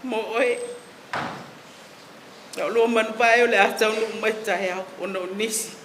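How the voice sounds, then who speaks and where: A man with a notably high voice delivers a formal ceremonial address in a measured, reciting cadence. The room gives his voice a strong echo, with a faint hiss behind it. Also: fairly even intonation. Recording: roomy echo.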